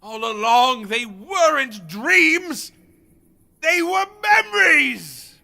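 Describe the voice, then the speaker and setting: A man's voice: very high-pitched, lively intonation, drawn-out words, creaky, pushed and bright, heavily acted. An actor playing a haunted, panicked scholar and father in a radio play, stammering and gasping. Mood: desperate. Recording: long reverberant hall sound.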